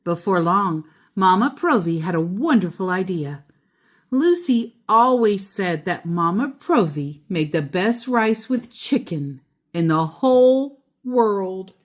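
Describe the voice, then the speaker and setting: A woman storyteller reading a children's picture book aloud, deliberately and with expressive emphasis on key words. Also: mid-range voice.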